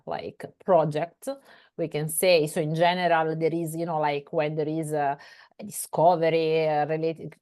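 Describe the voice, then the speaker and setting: A woman with an Italian accent speaking on a panel over a video call, hesitant and searching for her words. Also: low voice. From Italy. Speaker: panelist, journal editor. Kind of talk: online panel discussion.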